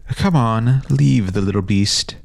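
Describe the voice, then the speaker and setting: A man's voice: lowish voice, slow pace, gravelly, no echo, pitched above the performer's own voice. A man voicing a bossy, worldly older boy who leads a gang in a fantasy story, speaking with knowing confidence.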